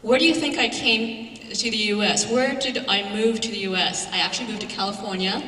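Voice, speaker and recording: A woman's voice: on the high side, a little fast, sharp tone. A woman professor giving a symposium talk, telling a personal story in a conversational way with some fillers. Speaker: guest speaker, professor. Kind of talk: symposium lecture. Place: large lecture hall. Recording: echoey hall, far from mic.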